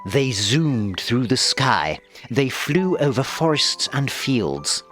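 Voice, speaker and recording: Male children's narrator: measured pace, lively intonation, expressive storybook read with light music underneath. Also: medium pitch.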